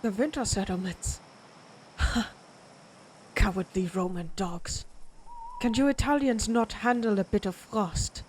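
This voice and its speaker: A woman's voice: medium pitch, darkish tone, slight background noise, lightly acted, low-quality recording. A woman playing a proud, menacing vampire warrior of ancient Germanic tribes in a dramatic audio roleplay, speaking in a taunting, predatory way. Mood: mocking. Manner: slow, taunting, theatrical, predatory.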